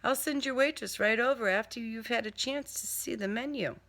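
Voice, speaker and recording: A woman's voice: a little high, normal speed, a bit bright, voice pushed up. A woman voicing a polite, placating restaurant hostess in a story reading. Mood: polite, brisk.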